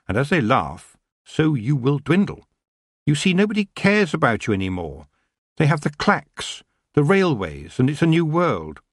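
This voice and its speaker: A man's voice: mid-range voice, husky, no room sound, studio quality, voice pushed up. A man voicing a proud young female witch who speaks firmly and with scorn. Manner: firm, rising to scornful.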